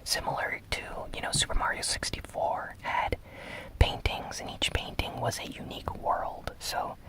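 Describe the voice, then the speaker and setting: A host recapping gaming news in a breathy, unvoiced ASMR whisper, soft-spoken and relaxed. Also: normal speed, low-quality recording.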